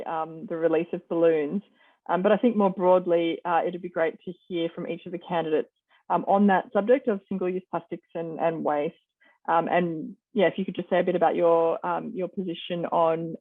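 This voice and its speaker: A woman moderating an online panel discussion, with a hesitant, halting delivery. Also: on the low side. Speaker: forum moderator. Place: online video call. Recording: muffled, band-limited video call audio.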